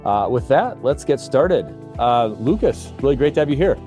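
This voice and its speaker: A man's voice: medium pitch, very animated, moderate pace, warm tone, soft background music. A man hosting a podcast, asking long, rambling questions with many fillers and restarts in casual chat. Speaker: podcast host.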